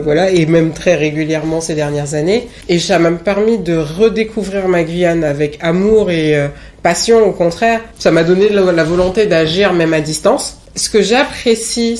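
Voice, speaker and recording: A woman's voice: on the low side, a little mellow. A woman in her late thirties from French Guiana giving a reflective personal testimony, speaking candidly and with self-assured emphasis. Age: late 30s. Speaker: interviewee.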